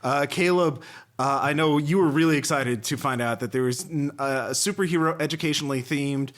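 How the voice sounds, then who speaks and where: An American man hosting a casual podcast chat in a halting, wry way with some swearing. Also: fairly high pitch, a bit bright. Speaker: podcast host.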